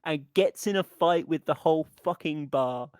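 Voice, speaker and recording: A man co-hosting a podcast, casually discussing a film with sweary, animated retelling. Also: fairly high pitch, moderate pace, smooth, no room sound, clean studio sound. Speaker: podcast co-host.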